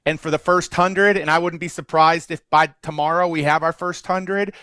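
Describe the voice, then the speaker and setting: A man hosting an online webinar, pitching an offer in an upbeat, salesy way as he lists what people get. His voice is a touch high, and the sound is dry and close. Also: steady tone, moderate pace, sharp tone, clean tone, no echo. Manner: upbeat, salesy, runs through offer details. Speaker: webinar host.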